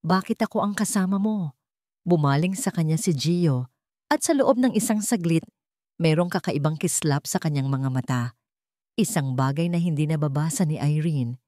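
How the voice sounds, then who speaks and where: Low, mellow woman narrating a dramatic story. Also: normal speed, no echo, clean studio sound.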